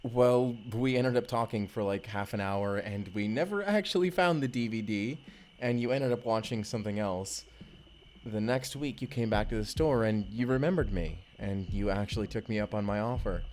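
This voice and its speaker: A man's voice: fairly low pitch, moderate pace, a little mellow, natural voice. A man playing an earnest, love-struck young husband stranded back in time, speaking tenderly and conversationally in an intimate audio roleplay. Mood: fond, nostalgic. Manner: conversational, tender, chuckling storyteller.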